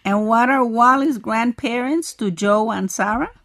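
A woman's voice: on the high side, measured pace, a bit bright, dry recording, clean studio sound. A woman, playing the curious student in a scripted English lesson. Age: 40s. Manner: curious, asking questions. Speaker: co-host acting as student.

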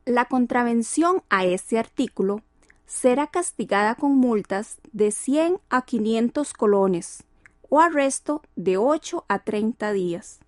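A woman's voice: on the high side, expressive, dry recording, clean studio sound. A woman reading a law text aloud in a careful, official manner. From Costa Rica. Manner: slow, careful, official recital. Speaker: narrator.

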